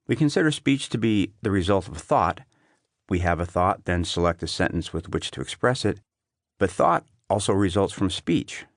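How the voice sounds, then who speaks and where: A man reading an essay as an audiobook narrator, in a measured, clearly phrased delivery. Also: low-pitched, animated, no echo, studio quality.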